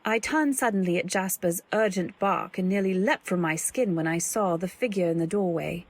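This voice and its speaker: Woman acting a husky-voiced, timid young wife narrating. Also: fairly low pitch. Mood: alarmed. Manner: measured, literary, dramatic storytelling. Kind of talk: old-time radio drama narration.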